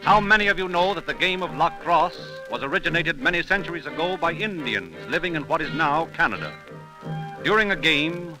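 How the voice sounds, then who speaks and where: Male narrator, scripted 1930s radio storytelling, solemn, theatrical, slightly high pitch, prominent background music. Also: low-quality recording.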